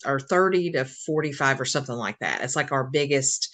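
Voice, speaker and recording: A woman's voice: rumbling low, lively intonation, fairly quick, a bit bright. An American woman co-hosting a podcast interview in a casual, chatty and informal way. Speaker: podcast co-host, English teacher.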